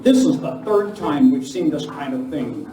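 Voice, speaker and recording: An actor playing an earnest, commanding masked lawman hero in a live Western radio play, speaking deliberately. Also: fairly high pitch, animated, dark tone, close to an everyday voice. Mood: urgent. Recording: echoey room from far off, noisy.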